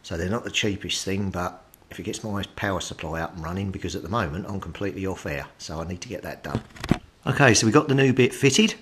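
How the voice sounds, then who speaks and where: A man's voice: low-pitched, lively intonation, fast talker. A British man giving a casual, hands-on repair commentary, thinking aloud with some hesitation as he works. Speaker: hobbyist radio repairer presenting a repair video. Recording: clearly noisy recording with clicks.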